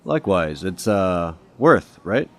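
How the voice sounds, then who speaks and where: A cocky young detective. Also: male voice, sits low, very animated, takes it slowly, exaggerated voice. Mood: wisecracking. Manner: wisecracking, casual. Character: young male detective, cocky ex-undercover cop.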